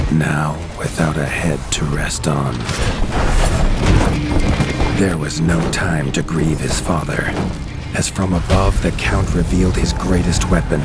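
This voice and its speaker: Very deep, slow male game narrator. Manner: grave, measured storytelling. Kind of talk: scripted storybook narration for a game. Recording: loud music underneath.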